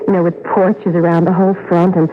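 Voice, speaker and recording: An actress playing an uneasy, polite wife in a radio drama, with a hesitant, earnest manner. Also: female voice, lowish voice, level tone, fairly quick, close to an everyday voice. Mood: nostalgic. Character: uneasy, polite wife stranded while travelling. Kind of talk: 1980 radio drama acting. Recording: noisy, muffled old radio broadcast.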